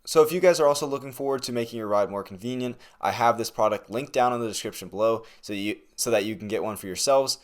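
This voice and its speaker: A man's voice: mid-range voice, fast, few pauses. A young man giving a casual, conversational product review to camera in a laid-back, slangy way. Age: young adult. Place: small bedroom, indoors.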